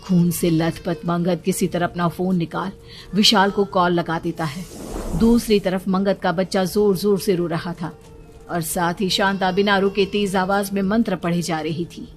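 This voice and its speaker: A woman with a slightly low voice tells a horror tale as scripted narration. Her delivery is dramatic, and clear music runs under the voice. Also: steady tone, moderate pace.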